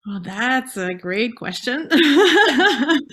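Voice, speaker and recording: A woman's voice: high voice, sing-song, very slow, a bit bright. A woman from Canada with a French-Canadian accent, a native French speaker explaining casually as a health specialist guest, sometimes searching for words. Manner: hesitant, searching for words in second language. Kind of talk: casual explanatory interview talk.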